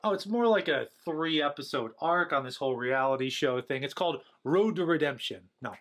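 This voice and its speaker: A man playing a sleazy, dim, self-important reality-show producer in a low-budget film. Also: lively intonation, normal speed, a bit bright. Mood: eager.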